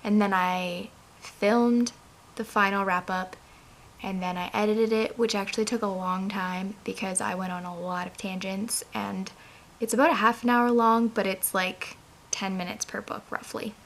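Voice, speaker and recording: Hoarse young woman chatting casually. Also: mid-range voice, fairly even intonation, normal speed, a bit bright. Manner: chatty, rambling, many "like" fillers. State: hoarse, raspy voice. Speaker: book vlogger. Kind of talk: casual chat to camera.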